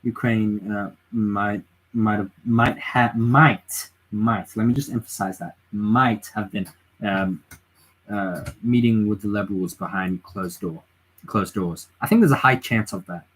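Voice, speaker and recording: A man's voice: lowish voice, a little slow, a bit bright, low-quality recording. A tired man on a late-night livestream at home, giving his opinions in a rambling way and reading chat messages aloud. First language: English. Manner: rambling, reads chat aloud, frequent restarts and fillers. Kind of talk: casual political livestream chat.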